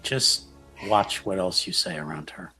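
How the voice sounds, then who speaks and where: A protective, quietly disapproving male adventurer, played by a man at the table in a roleplaying game. His voice is deep and husky. He speaks in terse, sincere cautions, with faint music behind. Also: measured pace, full character voice, low-quality recording. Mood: stern, concerned. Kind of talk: in-character improvised roleplay.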